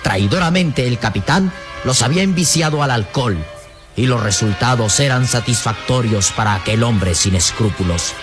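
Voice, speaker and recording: A man's voice: mid-range voice, lively intonation, normal speed. Male radio-serial narrator over music. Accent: Latin American Spanish.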